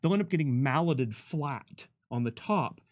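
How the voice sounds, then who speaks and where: A man's voice: mid-range voice, lively intonation, measured pace, a little mellow. A man giving casual, unscripted commentary as a game developer, often starting a sentence over as he explains things.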